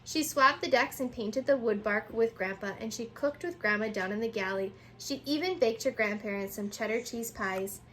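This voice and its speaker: A woman reading a children's picture book aloud in an animated way, sometimes stumbling and rereading words. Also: fairly high pitch, moderate pace, few pauses, a little hiss or hum.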